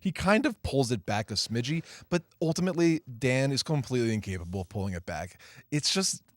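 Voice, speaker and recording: A man's voice: medium pitch, lively intonation, brisk, no echo. A man co-hosting a movie podcast, delivering wry, theatrical quips in casual review chat. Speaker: podcast co-host.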